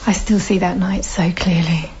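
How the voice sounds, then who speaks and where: An actress playing a loving, anxious, gently sceptical young mother in a radio drama, with an understated, intimate delivery. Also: lowish voice, fairly even intonation, normal speed, natural voice. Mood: wistful. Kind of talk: naturalistic radio drama acting. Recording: slightly dull, faintly noisy recording.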